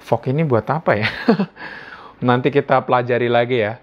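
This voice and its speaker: A man's voice: medium pitch, moderate pace. An Indonesian man giving a casual hands-on gadget review indoors at home, talking informally as if thinking aloud. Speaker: product reviewer. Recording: dull lo-fi sound.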